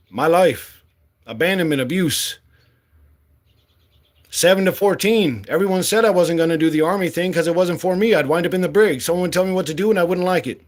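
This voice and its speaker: A man in his late forties giving a blunt, impassioned monologue as a candidate, swearing freely and speaking with fire. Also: high voice. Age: 40s. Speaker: political candidate.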